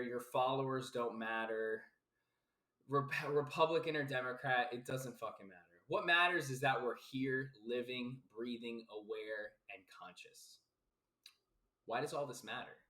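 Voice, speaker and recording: A man's voice: mid-range voice, a bit bright. A man from South Florida, a life coach, giving a casual, emphatic philosophical monologue full of repetition, fillers and the odd swear word. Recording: roomy echo.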